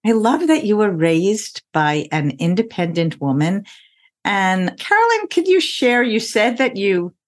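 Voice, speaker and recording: A woman hosting a money podcast, asking friendly, attentive questions. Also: fairly low pitch. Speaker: podcast host. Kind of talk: podcast interview.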